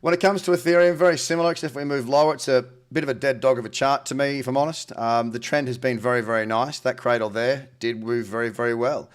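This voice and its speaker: A man's voice: medium pitch, fairly even intonation, quick pace, hardly any pauses, clear, resonant tone. A man with a slightly nasal, head-cold voice, giving informal, matey market commentary as the host of a trading show. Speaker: host, crypto trading analyst. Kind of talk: casual market commentary to camera.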